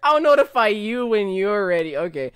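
A young man reacting casually to a video in a small room at home, excitable and often repeating himself. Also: high-pitched, normal speed, smooth. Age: young adult. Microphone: studio microphone with pop filter.

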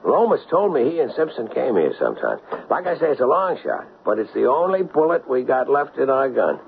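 Defiant, urgent cop with lively pitch. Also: male voice, brisk, creaky. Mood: grimly determined. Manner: urgent, insistent. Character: impulsive, defiant male cop on the run. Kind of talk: 1970s radio drama acting. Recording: dull, faintly noisy 1970s radio recording.

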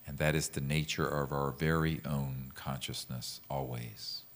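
Super deep voiced guy guiding meditation, really slow n calm, gentle, leaves pauses. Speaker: meditation teacher. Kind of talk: guided meditation with mantra instruction.

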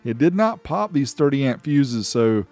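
A man's voice: mid-range voice, sing-song, moderate pace, a little mellow. Casual man reviewing gear over music. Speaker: host reviewing car audio amplifiers.

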